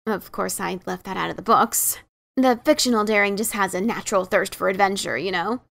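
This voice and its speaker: A woman playing an adventurous pegasus pony author in a fan dramatic reading, emphatic and defensive, halting when awkward. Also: mid-range voice, gravelly, bright, forceful tone.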